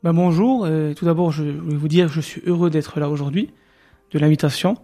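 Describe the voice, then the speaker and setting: A man in his thirties from Moselle, a radio guest telling his life story with many pauses and restarts. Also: on the high side, dark tone, faint music behind. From Moselle, France. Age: 30s. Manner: hesitant, many fillers and restarts.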